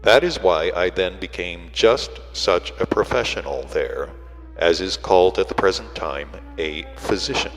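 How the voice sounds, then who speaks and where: A man reading a literary audiobook in a deliberate way, with frequent pauses between phrases. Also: low-pitched. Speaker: audiobook reader. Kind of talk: scripted literary narration. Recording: reverberant hall sound with music.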